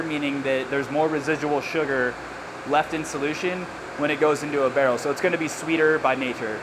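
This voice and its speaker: Brewer man, slightly high voice. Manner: relaxed, explanatory, uses casual slang. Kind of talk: hands-on explanation. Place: large brewery floor with steel tanks, indoors. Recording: clear machinery and air-con noise.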